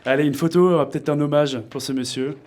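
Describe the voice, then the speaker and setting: A man's voice: a little high, steady tone, a little fast, darkish tone. A man hosting a conversational radio interview, attentive as he puts questions to his guest. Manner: attentive, frequent short backchannels.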